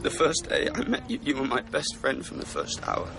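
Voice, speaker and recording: A man's voice: medium pitch, huge pitch swings, normal speed, gravelly, music in the background. A young-sounding man playing a sensitive, practical teenage son who loves his dog, in a naturalistic radio drama. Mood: tearful, halting.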